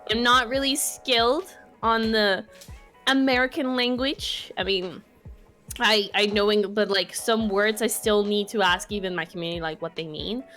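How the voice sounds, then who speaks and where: A woman in her twenties from Mexico with a Mexican Spanish accent, a podcast guest speaking casually in English, her second language, pausing and restarting to find her words. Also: mid-range voice, fairly even intonation, a bit bright, faint music behind. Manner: thoughtful, searching for words, many restarts. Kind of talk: casual conversation.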